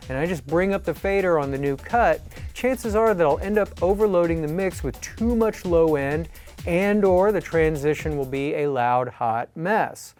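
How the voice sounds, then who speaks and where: A man calmly explaining step-by-step techniques in a tutorial, as an instructor. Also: a little high. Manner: calm, explanatory. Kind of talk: tutorial narration. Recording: faint music behind.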